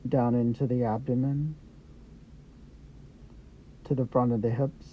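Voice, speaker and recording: Man, meditation guide, slow delivery, gentle short phrases with pauses, slightly muffled lo-fi recording. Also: medium pitch, little pitch movement. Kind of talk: guided meditation, Yoga Nidra. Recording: dull lo-fi audio with background noise.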